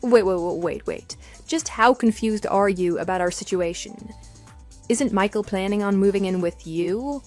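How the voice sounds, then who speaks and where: A woman's voice: medium pitch, moderate pace, darkish tone, faint music behind, lightly acted. A woman playing a composed, matter-of-fact wife with a dismissive edge in a scripted audio drama. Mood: dismissive, amused. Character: composed, wry wife divorcing her cheating husband.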